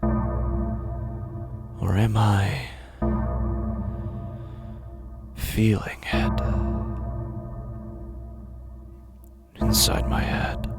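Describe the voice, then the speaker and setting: Slow, gravelly, tormented horror narrator. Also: male voice, on the low side. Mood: hushed dread. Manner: halting, fragmented, intense delivery. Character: tormented man unravelling in terror, narrating his own undoing. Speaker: actor in a horror anthology podcast. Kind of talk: dramatic first-person horror monologue. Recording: music under the voice. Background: prominent music.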